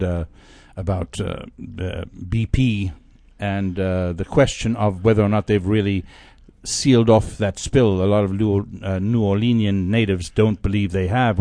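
Low, mellow man on dry radio mic. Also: dry recording. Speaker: radio co-host. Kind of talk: casual radio discussion.

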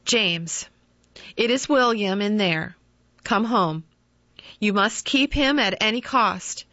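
A woman reading the part of a loving, pleading middle-aged mother, her voice lifted high and earnest. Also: slow, sharp tone, dry recording. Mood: urgent. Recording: dull lo-fi dry recording.

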